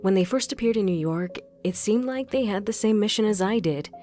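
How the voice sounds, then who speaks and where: A woman playing a determined, methodical vigilante investigator in an audio crime drama, explaining things in a measured way. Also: mid-range voice, lively intonation, warm tone, faint music behind, lightly acted. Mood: measured, serious.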